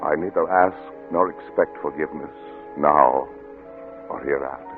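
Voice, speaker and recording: An old, narrow radio broadcast with music behind a doomed man of science. His deep voice is grave, measured and literary, narrating with dramatic swells. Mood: grave, resigned.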